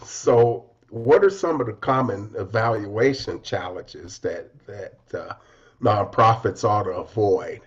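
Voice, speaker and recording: Through slightly muffled, lo-fi audio, a man with a fairly low voice co-hosts an interview. He talks conversationally, as in an informal discussion. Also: slow pace. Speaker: interview co-host. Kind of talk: conversational talk. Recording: dull lo-fi audio.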